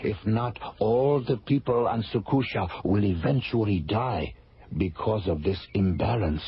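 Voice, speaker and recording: An actor playing a solemn alien islander in a radio sci-fi drama, speaking in a measured, formal way. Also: male voice, lowish voice, husky, full character voice. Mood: solemn, grave. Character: solemn male alien islander explaining his people's plight. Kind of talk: old-time radio sci-fi acting. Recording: dull radio recording, faint noise.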